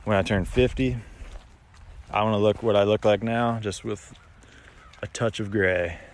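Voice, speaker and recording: A man's voice: lowish voice, steady tone, a little slow. An American man in his thirties chatting casually on a livestream, laid-back and rambling, talking into a phone held at arm's length outdoors. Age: 30s. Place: outdoors in open rural countryside. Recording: outdoor phone recording with ambient noise.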